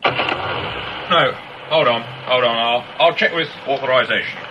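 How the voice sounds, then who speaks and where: An actor in a radio drama playing a stubborn, officious security guard with an official manner. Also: male voice, mid-range voice, animated, measured pace, close to an everyday voice. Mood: backing down. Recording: dull sound, clear vehicle noise.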